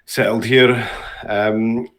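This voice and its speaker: Deep-voiced Scottish man chatting. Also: flat delivery, extremely slow. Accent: Scottish, Dundee. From Dundee, Scotland. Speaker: interview guest. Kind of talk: casual chat.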